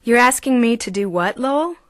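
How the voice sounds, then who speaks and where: A woman's voice: a little high, animated, fairly quick, a bit bright, no room sound, clean studio sound. A woman playing a poised film actress who co-hosts a talk show, in an audio drama. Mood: doubtful. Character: poised female film actress turned co-host.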